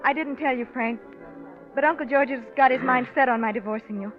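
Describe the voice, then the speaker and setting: An actress plays a wistful, uneasy young wife in 1940s radio style. Her voice is high and hesitant, trusting yet downcast. It is a dull, lo-fi old recording with faint music. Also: fairly quick, close to an everyday voice. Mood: resigned, anxious. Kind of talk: 1940s radio drama acting.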